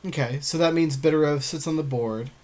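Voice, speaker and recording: Male podcast co-host, medium pitch, informal game strategy talk. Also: brisk, darkish tone. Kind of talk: casual strategy chat about a card game.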